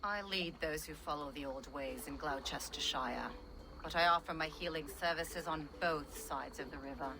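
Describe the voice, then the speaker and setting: A stern village healer. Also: female voice, sits low, normal speed. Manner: stern, commanding. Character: stern village healer woman, commanding and dry-witted. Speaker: game character. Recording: game audio with background noise.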